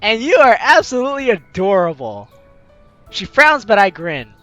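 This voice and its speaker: A woman's voice: fairly high pitch, big pitch swings, normal speed, a bit bright, highs cut off, faint music behind. A young woman reading a visual novel aloud as a gaming host, with theatrical, teasing asides. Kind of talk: animated let's-play read-aloud and commentary.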